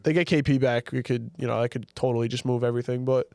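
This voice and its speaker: A man's voice: mid-range voice, steady tone, quick pace, mellow, no room sound. Nasal young man, dry mic. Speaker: podcast co-host. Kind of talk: casual sports chat.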